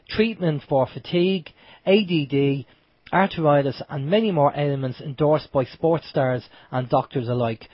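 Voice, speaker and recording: A man's voice: on the high side, breathy edge, no echo. An Irish man with a cold, sounding blocked up and nasal, hosting a casual internet radio chat. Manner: chatty, loose, with restarts. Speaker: radio show host. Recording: dull lo-fi home radio stream.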